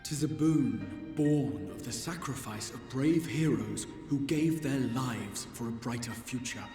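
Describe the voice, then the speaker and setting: An actor playing a solemn, regal guardian of an ancient tower in a fantasy game, speaking in grandiose, archaic phrases. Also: male voice, medium pitch, a little slow, dark tone, natural voice. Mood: solemn resolve. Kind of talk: scripted fantasy game dialogue. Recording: echoey hall reverb under orchestral music.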